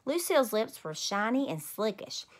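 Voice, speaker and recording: A woman in her thirties reading a children's story aloud in an animated, emphatic way. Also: medium pitch, normal speed. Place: small room at home.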